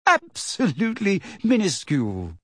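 A man's voice: high-pitched, animated, a little slow, slightly rough, no room sound, voice pushed up, heavily acted. A man voicing an anxious teenage girl in an audiobook, emotional, urgent and incredulous. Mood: sarcastic. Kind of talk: audiobook character voice.

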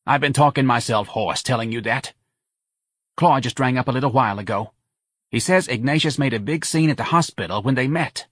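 A man voicing a loud, bossy older woman with a New Orleans accent, brash and pushy in an audiobook story. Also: mid-range voice, a little fast, husky, no echo, clean studio sound, pitched above the performer's own voice, close to an everyday voice. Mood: urgent, gossipy.

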